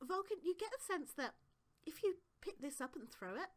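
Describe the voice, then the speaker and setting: A woman narrating as game master of a tabletop game, often laughing, her voice slightly husky. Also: very high voice, sing-song, a little fast. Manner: laughs often, calls for dice checks.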